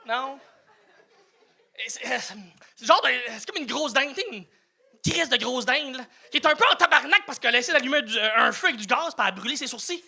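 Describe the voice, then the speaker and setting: High, bright-voiced Acadian comedian. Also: male voice, animated, brisk, creaky. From New Brunswick, Canada. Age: 30s. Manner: self-mocking, stammering restarts, swearing. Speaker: stand-up comedian. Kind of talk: stand-up set.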